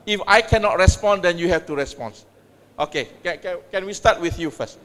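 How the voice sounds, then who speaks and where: A middle-aged man speaks from the stage of a large university hall, his voice pitched high for a man and trailing off into a long reverb. He talks in a measured way, with clipped phrases and frequent pauses, as he presents and then opens the floor. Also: lively intonation, a bit bright. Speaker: university vice chancellor giving a conference talk. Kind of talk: conference speech and Q&A moderation.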